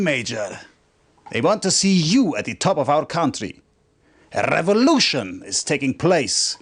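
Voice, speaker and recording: An actor playing a fervent, conspiratorial military officer in a video game cutscene, speaking in a formal, emphatic, declamatory way. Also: male voice, mid-range voice. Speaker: military officer briefing a commander.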